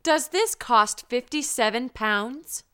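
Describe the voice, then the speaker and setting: A woman's voice: a little high, expressive, slow, a bit bright, smooth. A woman reading short practice sentences for language learners, carefully enunciated. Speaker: language teacher. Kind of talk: slow drill sentences for learners.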